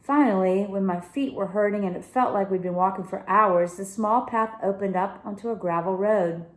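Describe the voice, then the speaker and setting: A woman narrates a children's story expressively. Her voice sits at a medium pitch. The recording has a clear roomy echo. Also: normal speed. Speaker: story reader.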